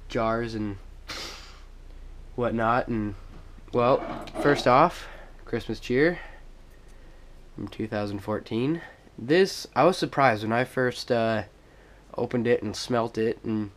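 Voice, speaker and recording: A young man with a stuffed-up cold voice, casually showing off his things in a halting home vlog full of fillers. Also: medium pitch. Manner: halting, many fillers and restarts. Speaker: vlogger. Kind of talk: casual show-and-tell vlog. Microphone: action camera on a tripod.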